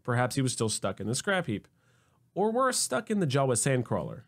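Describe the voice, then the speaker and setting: A male narrator reads a comic sci-fi tale. His mid-range voice rises and falls freely, giving the scripted lines a wry, expressive turn. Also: mid-range voice, a little fast. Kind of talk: scripted comedic story narration.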